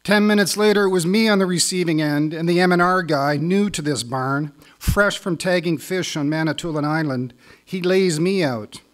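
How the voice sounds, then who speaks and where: A middle-aged Canadian man performing as a spoken word poet on stage, with a wry, deliberate delivery. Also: a little high. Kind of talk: live poetry recital with casual lead-in.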